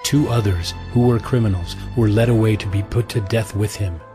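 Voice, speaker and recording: A man giving measured, solemn scripted narration of scripture. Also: on the low side. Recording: prominent music bed.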